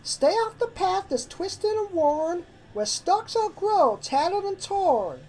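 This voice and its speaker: A woman's voice: extremely high pitch, sing-song, slow, a little mellow, voice pushed up, full character voice, poor audio. A woman voicing a folksy, stubborn and uneasy young pony filly in a story reading. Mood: reciting, uneasy. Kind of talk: character voice in fan fiction reading.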